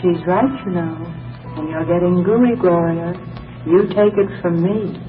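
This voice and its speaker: An actress playing a nagging, all-knowing conscience, teasing through a filter in a 1940s radio comedy. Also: female voice, lowish voice, measured pace, far from the mic, narrow, tinny audio. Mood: teasing, insinuating. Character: nagging, all-knowing conscience speaking inside a young woman's head. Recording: thin filtered old radio, music behind.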